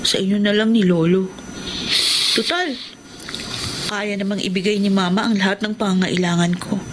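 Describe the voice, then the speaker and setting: A woman's voice: mid-range voice, normal speed, background noise, natural voice. A woman playing a stubborn, wounded young daughter in a Filipino radio drama, speaking with insistent emotion. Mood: withdrawn, firm.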